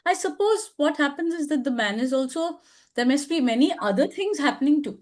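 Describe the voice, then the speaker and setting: A woman's voice: sits high, lively intonation, a little fast. An older woman with an Indian English accent, hosting a casual advice discussion, thoughtful and hedging, with many fillers. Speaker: advice show host.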